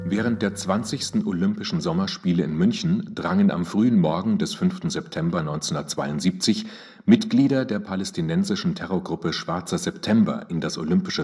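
An actor as a documentary narrator in a radio drama, reading scripted facts in a formal, news-like manner. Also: male voice, sits low, a little mellow, close to an everyday voice. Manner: factual, formal. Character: sober male documentary narrator.

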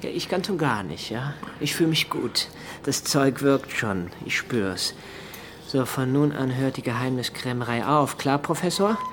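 Radio drama role: man playing swaggering young student, mid-pitched, sneering and jealous, slight noise behind. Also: expressive, measured pace, lightly acted. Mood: smug, threatening. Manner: mocking, taunting, swaggering.

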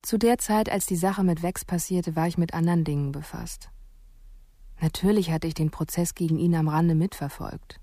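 Actress as reflective psychologist, lowish voice. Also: female voice, steady tone, moderate pace, mellow, dry recording, clean studio sound, natural voice. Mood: reflective, candid. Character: reflective, candid female psychologist recalling a case. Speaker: radio drama narrator. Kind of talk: first-person radio drama narration.

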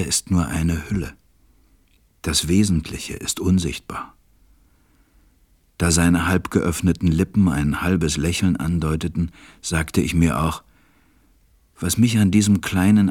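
A man acting a reflective, tender pilot who narrates a radio play in a measured, gentle way. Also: sits low. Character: reflective adult male pilot, tender storyteller.

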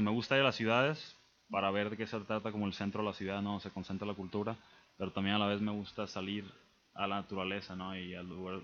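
A young man with a Mexican accent, a guest on a casual radio interview, relaxed and hesitant with many fillers. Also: deep voice, dull audio, slight background noise. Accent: Mexican Spanish.

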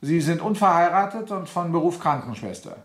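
A sardonic general presiding over a military court acted by a man, with a fairly high male voice. He speaks formally and commandingly, in stern radio drama style. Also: animated, fairly quick, natural voice. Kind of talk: German radio play acting.

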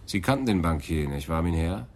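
Crime radio drama: stern senior detective, low voice, pointed and factual delivery. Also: male voice, lively intonation, a little fast, a little hiss or hum. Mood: matter-of-fact. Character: senior police detective, stern, matter-of-fact. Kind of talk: radio play acting.

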